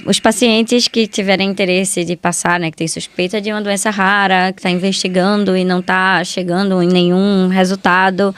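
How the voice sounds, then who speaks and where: A woman's voice: mid-range voice, little pitch movement, moderate pace, few pauses, smooth. A Brazilian woman, a doctor appearing as a podcast guest, explaining things casually and often restarting her phrases.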